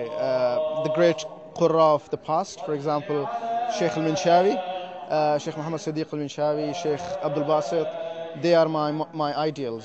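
A man who is a competition entrant, answering interview questions earnestly and hesitantly, with many pauses and repeated words. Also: a little high, highs cut off. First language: not Arabic. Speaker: competition participant. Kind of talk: vox-pop interview answers. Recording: chattering crowd behind.

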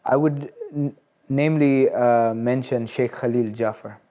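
A man in his early twenties from Tanzania, speaking as an interview guest in a measured, hesitant and earnest way. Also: mid-range voice, steady tone, dark tone. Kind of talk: studio interview, personal reflection. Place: studio. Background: plucked guitar music.

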